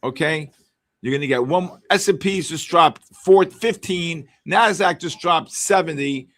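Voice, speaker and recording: A man with a Bronx accent hosting live market commentary, loud, exclamatory and wisecracking. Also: fairly high pitch, unhurried. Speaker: trading show host.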